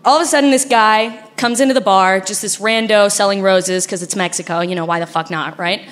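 A woman's voice: mid-range voice, brisk. A young woman from Argentina with an Argentinian accent, telling a frank, laughing story on a live stage. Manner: animated, laughing, frank and profane. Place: stage before a live audience.

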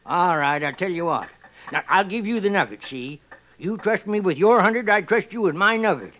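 An actor playing a grizzled, boisterous old American gold prospector in a 1950s radio western, cackling and talking in folksy frontier slang. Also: male voice, sits high, animated, moderate pace, sharp tone. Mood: sly, jovial.

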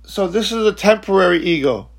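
A man in his forties giving a slow, reflective spiritual teaching talk, halting and repeating words, often checking in with "okay?". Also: high voice, expressive. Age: 40s. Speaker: spiritual speaker.

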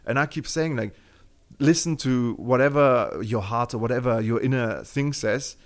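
A man's voice: medium pitch, normal speed. A man from Germany with a German accent, speaking as a podcast guest in a casual interview, rambling with many fillers and restarts.

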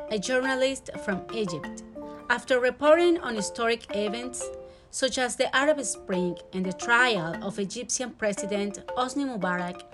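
Woman narrating a podcast intro over music. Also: a little high. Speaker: podcast host. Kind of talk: scripted narration. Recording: music playing behind the voice.

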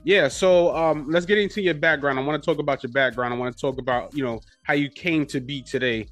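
A man's voice: a little high, a little fast, a bit bright. A man hosting a podcast, talking in a hyped, slangy, repetitive way in casual interview chat. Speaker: podcast host. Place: New York City radio studio.

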